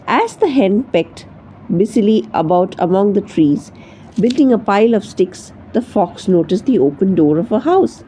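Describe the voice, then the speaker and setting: A woman narrates a children's storybook with very lively, swooping intonation. Her tone is soft and mellow at a medium pitch, with faint noise in the background. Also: rough recording. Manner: expressive read-aloud storytelling. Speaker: storybook reader.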